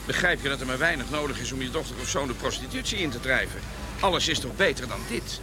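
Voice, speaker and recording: A man's voice: medium pitch, lively intonation, quick pace, lightly acted. An actor in a Dutch radio drama playing an appalled, queasy and indignant man with a blunt manner. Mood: troubled, indignant. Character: appalled, queasy, morally indignant male visitor. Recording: music behind, outdoor traffic ambience.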